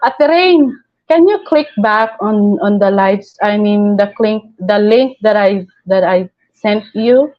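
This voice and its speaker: Slow-talking Filipino woman streaming. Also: medium pitch, dull audio. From Philippines. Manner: chatty, reads viewer comments aloud, laughs often. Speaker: live stream host. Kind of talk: casual live stream chat.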